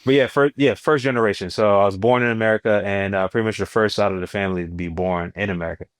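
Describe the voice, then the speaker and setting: A young man with a New Jersey accent and a fairly low voice, chatting as an interview guest. He talks loosely with slang and gets animated as he tells stories. The sound is dry and close. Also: fast talker, smooth, dry recording. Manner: laid-back, slangy, animated when telling stories. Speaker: guest, stand-up comedian. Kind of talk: casual interview chat.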